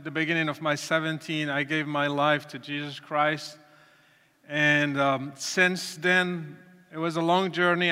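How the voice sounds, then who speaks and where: Man, high bright tone, measured preaching testimony. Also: clean tone. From Morocco. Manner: earnest, deliberate, with pauses and restarts. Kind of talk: testimony talk to an audience. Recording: reverberant hall.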